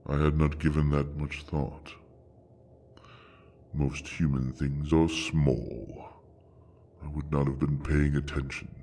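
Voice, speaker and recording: A man playing an ancient, bookish dragon, proud and possessive, with a deep gravelly voice and a formal, grandiose way of speaking in a fantasy audio drama. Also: fairly even intonation, warm tone, a little hiss or hum, full character voice. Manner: slow, formal, grandiose speech.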